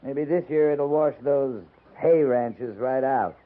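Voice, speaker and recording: An actor playing a wry, laconic frontier cavalry captain in a 1950s radio drama. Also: male voice, medium pitch, phone-quality sound, close to an everyday voice. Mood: relaxed, wry. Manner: laconic, dry, unhurried. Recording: thin band-limited 1950s radio recording.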